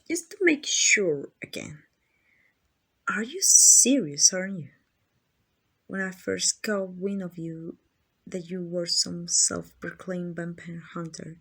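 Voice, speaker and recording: A woman's voice: lowish voice, a bit bright, husky, heavily acted. A woman voicing an arrogant, possessive female vampire in an ASMR roleplay, teasing and condescending to the listener. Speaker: amateur voice actor.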